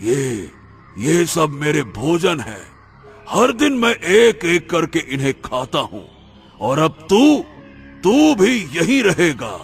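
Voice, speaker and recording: A man's voice: on the high side, sing-song, slow pace, vocal fry, faint music behind, heavily acted. A man playing a savage, cruel man-eating monster in a cartoon story, making menacing, commanding threats. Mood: gloating menace. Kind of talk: dramatic animated story dialogue.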